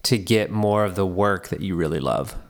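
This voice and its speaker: Male podcast host, low voice, casual and filler-heavy. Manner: chatty, quick asides, filler-heavy. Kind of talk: conversational interview.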